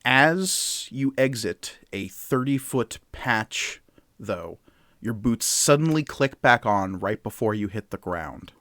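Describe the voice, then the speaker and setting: A man serves as game master for a tabletop game, narrating in a conversational, vivid way. His pitch is middling and the sound is close and dry, with little room in it. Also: animated, takes it slowly, sharp tone, dry recording. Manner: conversational, vivid scene-setting.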